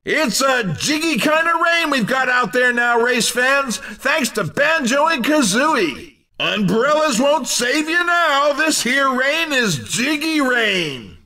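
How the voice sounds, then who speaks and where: An over-excited male video game race commentator with a very high, sharp voice. He delivers each line like a hammy showman, exclamatory and playful, yet measured in pace. The voice sounds a little distant from the mic. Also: exaggerated voice. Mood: excited, urgent. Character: over-excited male cartoon racing announcer, playful and punning.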